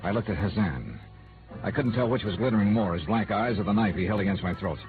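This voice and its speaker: An actor playing a wry, hard-boiled private investigator in a radio noir, tough, wisecracking and clipped. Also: male voice, on the low side, fast, natural voice. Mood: hard-boiled narrating. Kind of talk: old-time radio noir acting and first-person narration. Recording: narrow-band vintage radio recording with music.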